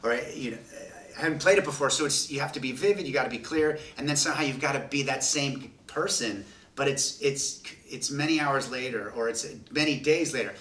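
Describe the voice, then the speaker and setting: Male cast member, bright, ringing voice, thoughtful and self-repeating, off-mic in a reverberant room with faint hiss. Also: mid-range voice, a little fast. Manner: reflective, repeats himself for emphasis. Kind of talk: casual roundtable chat. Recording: roomy sound, mic at a distance, faint room noise.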